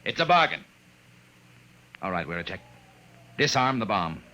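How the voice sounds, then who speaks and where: A man's voice: low-pitched, very animated, moderate pace, pushed and bright, highs cut off, slight background noise, full character voice. An actor playing a bold, determined Earthman adventurer, urgent and resolute, in a science fiction radio drama. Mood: bargaining, confident. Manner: urgent, resolute, clipped commands.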